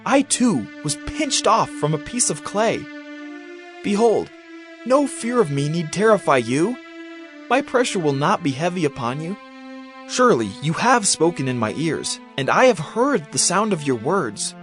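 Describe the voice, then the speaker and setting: A man's voice: on the high side, very animated, a little slow, fairly bright tone, soft background music. An actor playing a fervent, indignant young man who rebukes his elders in a declamatory, insistent way, in a dramatized scripture reading. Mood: earnest challenge.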